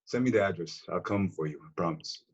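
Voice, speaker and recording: Husky, cocky teasing young man, acted by a man. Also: deep voice, fast talker. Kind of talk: comedic script read on a video call. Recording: dull lo-fi video-call audio.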